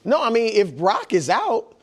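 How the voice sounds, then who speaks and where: A man's voice: high voice, wildly swooping pitch, normal speed. A man chatting and debating off the cuff as a panelist on a sports show.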